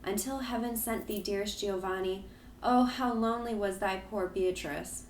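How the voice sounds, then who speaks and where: A man voicing a gentle, sorrowful young woman in an audiobook reading, pleading softly. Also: sits high, fairly even intonation, moderate pace, roomy sound, a little hiss or hum, pitched above the performer's own voice, poor audio. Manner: pleading, soft and tremulous.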